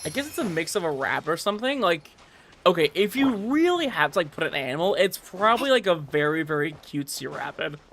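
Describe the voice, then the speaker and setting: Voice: medium pitch, a bit bright, a little hiss or hum. A streamer giving casual, rambling and emphatic commentary while gaming. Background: game music.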